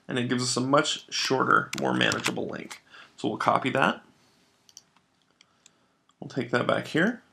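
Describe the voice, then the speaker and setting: A man narrating a step-by-step software tutorial as a trainer, explaining each step in an instructional way. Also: medium pitch, gravelly.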